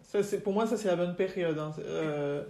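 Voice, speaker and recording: Woman podcast host, easygoing prompts, lower voice, lots of hiss and room echo. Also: moderate pace. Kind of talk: casual interview conversation. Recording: echoey room with loud noise.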